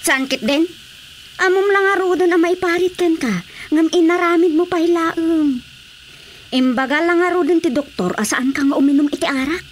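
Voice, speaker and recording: A woman's voice: very high voice, normal speed. A woman with an Ilocano accent playing an anxious, nagging woman in a radio drama. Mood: reproachful. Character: anxious, scolding woman fussing over an ailing drinker. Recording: faint background noise.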